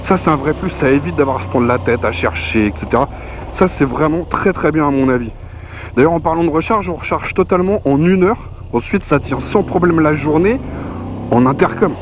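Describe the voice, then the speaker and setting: A man's voice: fairly high pitch, expressive, fairly quick, background noise. A man giving a casual, colloquial product review, chatty and slangy as he presents. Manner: colloquial, conversational, punctuated with slang and spoken sound effects. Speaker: motorcycle gear reviewer, presenter. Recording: music playing behind the voice. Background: clear background music.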